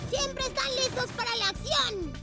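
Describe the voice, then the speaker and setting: A performer voicing an excitable, goofy young dirt-bike boy. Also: very high voice, moderate pace. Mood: excited. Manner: exuberant, silly. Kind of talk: children's cartoon dub acting. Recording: loud music behind the voice.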